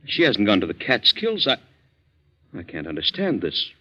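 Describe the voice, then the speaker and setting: An actor playing a well-to-do, easily shaken husband with an American accent in a 1940s radio drama, earnest and halting. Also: male voice, lowish voice, huge pitch swings, bright, forceful tone, heavily acted. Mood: stunned, hurt. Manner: earnest, halting when troubled. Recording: muffled 1940s radio recording.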